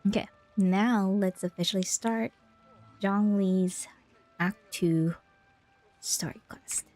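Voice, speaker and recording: A woman's voice: mid-range voice, fairly even intonation, slow pace, a little mellow, soft background music. A woman game streamer chatting casually with the viewers of a livestream.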